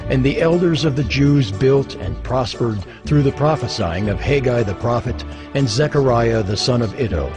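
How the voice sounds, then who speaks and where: Mid-pitched male scripture narrator over music. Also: lively intonation, moderate pace, hardly any pauses. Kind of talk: scripted dramatized Bible narration. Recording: music bed under the voice.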